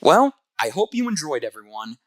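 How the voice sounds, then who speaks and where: A man hosting a comic review, reading scripted narration in an earnest, expressive way on a close voice-over mic. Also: on the high side, moderate pace, soft background music.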